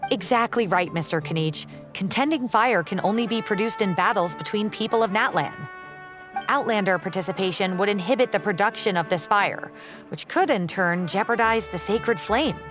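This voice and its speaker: An actress playing a polite but firm registration clerk in a video game, formal, courteous and by-the-book. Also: female voice, mid-range voice, normal speed, over music, natural voice.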